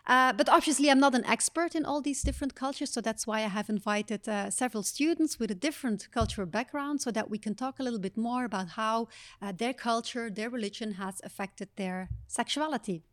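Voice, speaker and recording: A woman from Belgium hosting a podcast as a psychologist, speaking in a measured, explanatory way with frequent fillers. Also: on the high side. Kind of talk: podcast conversation.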